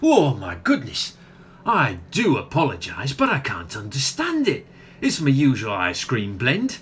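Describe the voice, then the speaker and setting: A man's voice: mid-range voice, wildly swooping pitch, a little slow, fairly bright tone, a little hiss or hum. A man playing a polite, flustered father who runs a milkshake bar, stammering in a comic radio play. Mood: apologetic. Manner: hesitant, stammering, apologetic.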